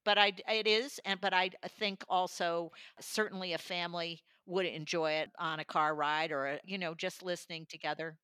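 Low, bright-voiced woman reviewer. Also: steady tone, normal speed, hardly any pauses, smooth, no echo, clean studio sound. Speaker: audiobook reviewer, studio guest. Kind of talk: conversational book review.

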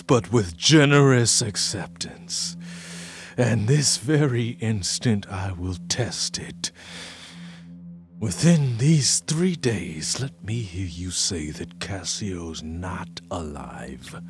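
An actor playing a jealous, vengeful military commander and tormented husband, declaiming classical verse grandly and with passion in a radio play. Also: male voice, on the low side, expressive, takes it slowly, vocal fry, faint music behind, full character voice. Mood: cold, solemn.

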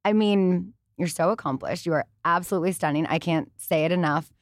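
A woman with a fairly low voice hosts a relaxed studio chat. She sounds agreeable and encouraging, often answering with quick affirmations. The recording is close and dry. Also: dry recording. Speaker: podcast host. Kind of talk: casual interview chat.

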